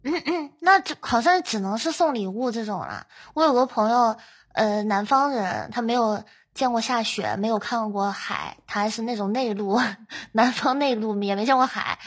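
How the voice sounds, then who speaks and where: Bright, high-voiced woman podcast host. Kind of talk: casual chat.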